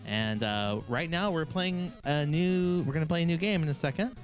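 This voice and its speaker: A woman's voice: deep voice, moderate pace. A woman hosting a live music stream, chatting casually and playfully and laughing easily. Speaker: livestream host. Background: faint video game music.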